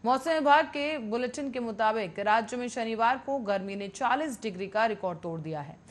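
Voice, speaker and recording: A woman reading scripted news as a television anchor. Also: on the high side.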